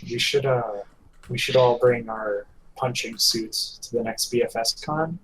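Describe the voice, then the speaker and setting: Medium-pitched man in casual, joking chat. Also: measured pace, a crowd in the background, poor audio. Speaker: podcast co-host.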